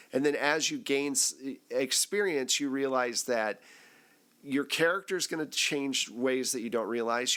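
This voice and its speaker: A man's voice: mid-range voice, a bit bright. A man hosting a casual group discussion on a podcast, asking questions and commenting in a conversational way. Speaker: host and game master.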